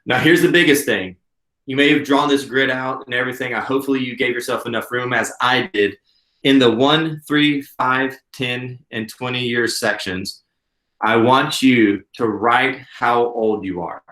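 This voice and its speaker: An earnest man in his late thirties coaches a workshop, talking in a friendly, encouraging way. His voice sits at an ordinary middle pitch. The recording carries a noticeable roomy echo. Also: moderate pace, fairly bright tone. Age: 30s. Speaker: business coach. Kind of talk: instructional workshop talk.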